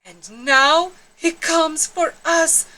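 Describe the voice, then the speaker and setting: A woman's voice: extremely high pitch, drawn-out words, bright, forceful tone, pitched above the performer's own voice, full character voice. A woman voicing a small male dog villager in a storybook, grave and ominous as he spells things out.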